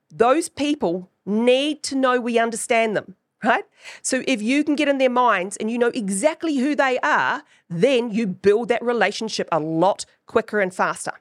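A woman's voice: a little high, lively intonation, moderate pace, no echo, clean studio sound. A woman with a New Zealand accent hosting her own podcast, teaching in a chatty way and often checking in with "right?". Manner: chatty, keeps asking "right?". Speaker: podcast host.

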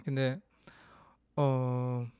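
A man's voice: medium pitch, level tone, measured pace, dark tone, smooth, no echo, studio quality. A man from the Philippines, hosting a casual solo podcast and thinking aloud with frequent restarts. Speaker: podcast host.

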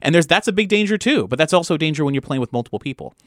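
A man's voice: on the high side, animated, very fast, fairly bright tone, dry recording, clean studio sound. A man chatting casually as a game master, rambling and often rephrasing his thoughts midway. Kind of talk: casual chat.

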